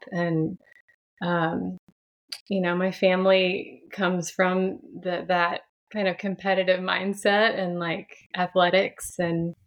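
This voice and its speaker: A woman, telling her story thoughtfully as a podcast guest. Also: on the low side. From Franklin, Tennessee, USA. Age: 30s. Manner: reflective, many restarts and soft laughs. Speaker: podcast guest, sound healer. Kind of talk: casual interview chat.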